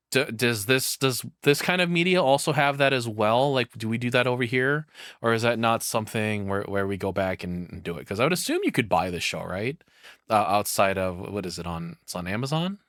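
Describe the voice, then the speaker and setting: A man giving casual, off-the-cuff reaction commentary as a streamer, blunt and sweary, halting as he thinks aloud. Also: fairly low pitch, fairly bright tone, no room sound, studio quality.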